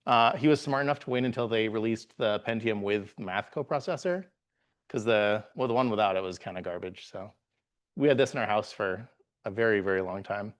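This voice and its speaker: A man in his forties, from the Bahamas, giving a live tech meetup talk as a guest presenter, relaxed and halting with frequent "uh"s and restarts. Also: on the low side. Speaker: guest presenter, software engineer.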